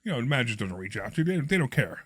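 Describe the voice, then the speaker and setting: Guest: middle-aged man, froggy hoarse voice, animated quick storyteller, dry close sound. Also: medium pitch, no room sound. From Pennsylvania. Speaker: podcast guest, real estate investor. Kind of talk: casual storytelling chat.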